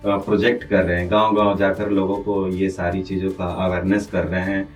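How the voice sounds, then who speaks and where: A man with a fairly low voice and a North Indian accent hosts a radio interview. He speaks as the interviewer, a little away from the microphone. Faint music runs behind his voice. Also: flat delivery, a little fast, far from the mic.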